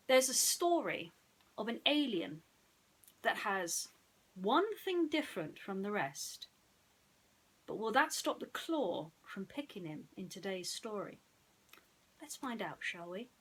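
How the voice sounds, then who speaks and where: Lady doing bedtime storytime, really slow, voice goes up and down a lot, asks listeners questions. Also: on the high side, a bit bright, slightly rough. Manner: addresses listeners with rhetorical questions. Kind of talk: bedtime story narration with chatty introduction.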